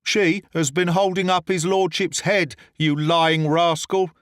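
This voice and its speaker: A man's voice: fairly high pitch, steady tone, a little slow, dry recording, voice pushed up, lightly acted. An indignant old butler in a storybook reading, his voice lifted slightly and full of scorn, as a man plays him. The recording is close and dry. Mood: scornful.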